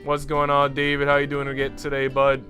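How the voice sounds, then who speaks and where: A man's voice: on the high side, a little fast, soft background music. A man streaming a visual novel, switching between casual slangy chat and reading the story aloud to his viewers. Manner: slangy, animated storytelling in asides. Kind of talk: casual livestream chat mixed with read-aloud narration.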